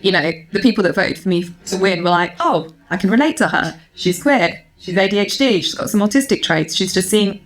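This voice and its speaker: Woman, thirties, medium pitch, casual podcast guest, wry, reflective, articulate. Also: expressive, brisk, hardly any pauses. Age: 30s. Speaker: podcast guest, reality show winner. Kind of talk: casual chat.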